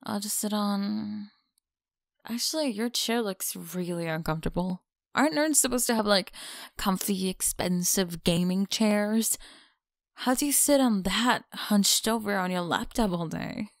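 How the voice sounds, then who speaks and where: A woman's voice: mid-range voice, measured pace, vocal fry, no room sound, studio quality. A young woman with an American accent playing a mean, teasing college bully in an ASMR audio roleplay, mocking and slangy. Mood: bossy, dismissive. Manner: mocking, sighing, casual slangy talk. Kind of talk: ASMR audio roleplay, one-sided dialogue.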